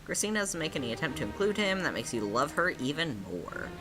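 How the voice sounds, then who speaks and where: A woman's voice: low voice, sing-song, a little fast, over music. A woman reading a story aloud as a game host, with playful, dramatic phrasing and casual asides. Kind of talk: expressive read-aloud narration with casual asides.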